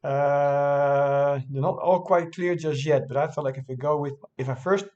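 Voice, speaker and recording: A man's voice: fairly high pitch, fairly even intonation, unhurried, a little mellow, muffled recording. A man who is not a native English speaker, casually thinking aloud as he reviews his own game, hesitant and often correcting himself.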